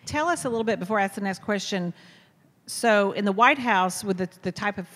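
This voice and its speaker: A woman's voice: medium pitch, lively intonation, a little fast, a bit bright. An American woman moderating a live question-and-answer event in a conversational way. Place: indoor hall at a public talk. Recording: amplified in a reverberant hall.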